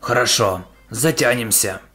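A man's voice: medium pitch, bright, forceful tone, soft background music. A man voicing a weary, grumpy middle-aged soldier hero in a parody cartoon dub, complaining and cursing. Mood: matter-of-fact. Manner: slow, sweary, complaining.

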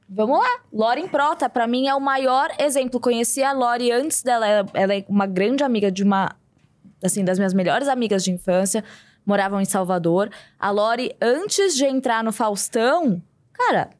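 A woman's voice: a little high, normal speed, no echo. A young woman with a Brazilian accent, discussing things informally and emphatically as a podcast co-host on a close studio mic. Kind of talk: casual discussion. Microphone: close studio mic on boom arm.

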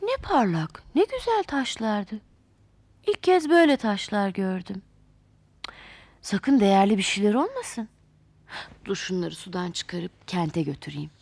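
A woman's voice: medium pitch, sing-song, unhurried, pauses often, a little mellow, no echo, voice pushed down. Storybook role: simple country farmer played by a female narrator, plain-spoken, muttering to himself, very dry close recording. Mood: wondering. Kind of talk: storybook character voice.

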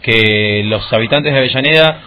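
A man's voice: mid-range voice, normal speed, pushed and bright. A man with a Buenos Aires accent hosting a conversational political interview on the radio. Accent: Buenos Aires Argentine. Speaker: radio host. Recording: dull lo-fi radio audio with music.

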